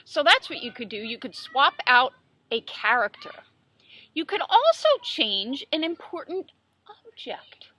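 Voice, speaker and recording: A woman's voice: high-pitched, sing-song, unhurried, sharp tone, rough recording. A woman, a children's book author, talking through writing tips in a playful, self-deprecating way at home. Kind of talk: casual tips video.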